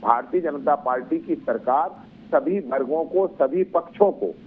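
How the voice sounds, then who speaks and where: A man's voice: a little high, measured pace. A male political spokesman arguing in a live TV debate, explaining and repeating phrases for emphasis. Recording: thin phone-like line, faint noise.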